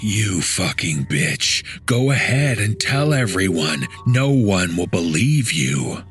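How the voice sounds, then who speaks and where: A man voicing a lewd, menacing late-night radio DJ with a soft, eerie, muttering delivery in a horror story. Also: deep voice, big pitch swings, faint music behind, pitched below the performer's own voice, full character voice. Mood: violent threat. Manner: muttering, slow, unsettling.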